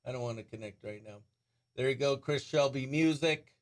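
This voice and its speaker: A man hosting a radio show in a breezy, excitable way, chatting and calling out greetings to viewers. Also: medium pitch, moderate pace. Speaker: radio host.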